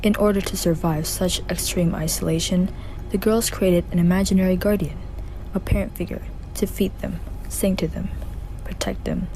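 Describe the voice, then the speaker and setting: A woman's voice: on the low side, fairly even intonation, normal speed, dark tone, low-quality recording. A woman narrating a student radio drama in a careful, plain reading with slight grammar slips.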